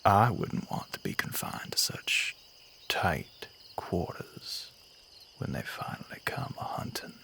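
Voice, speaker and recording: A man playing a courtly, softly menacing Southern vampire in an intimate audio roleplay, speaking softly and breathily, often near a whisper, with a Southern US accent. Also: deep voice, wildly swooping pitch, slow, vocal fry, exaggerated voice. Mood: coaxing, gentle.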